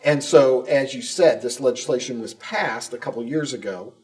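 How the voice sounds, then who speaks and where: A man's voice: mid-range voice, moderate pace, darkish tone, recorded from a distance. A man co-hosting a conversational interview show and putting questions to guests. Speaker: podcast co-host, school communications director.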